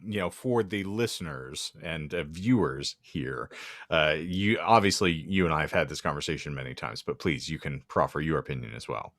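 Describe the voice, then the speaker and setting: A man's voice: rumbling low, moderate pace, a bit bright, dry recording, clean studio sound. A man co-hosting a casual podcast discussion, droll and wordy with mock-formal phrasing. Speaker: podcast co-host.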